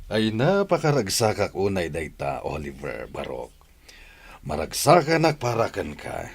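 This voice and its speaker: A man's voice: deep voice, huge pitch swings, measured pace, gravelly, breathy edge, exaggerated voice. A man with an Ilocano accent playing a kindly, supportive older uncle in a radio drama. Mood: warm, approving. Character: kindly, supportive older uncle raising his nephew and niece.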